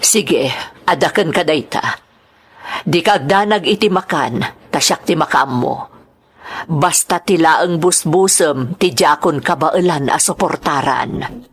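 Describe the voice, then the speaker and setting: Stern, stingy middle-aged aunt, played by a woman, rough husky tone, Ilocano accent, drama delivery. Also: low voice, a little slow, exaggerated voice. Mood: grudging. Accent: Filipino, Ilocano. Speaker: drama actress. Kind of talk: sentimental radio-style drama acting.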